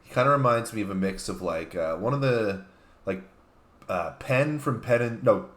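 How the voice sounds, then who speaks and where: A man with a fairly low voice chats casually as a co-host. The sound is roomy, with clear echo around his words. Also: animated, moderate pace, fairly bright tone. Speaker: talk show co-host. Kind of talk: casual chat. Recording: roomy, echoing room sound.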